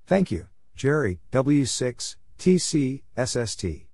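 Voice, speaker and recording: A man reading out short scripted phrases as a practice narrator, deliberately spelling things letter by letter. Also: medium pitch, sing-song, darkish tone, no room sound. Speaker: Morse code practice narrator.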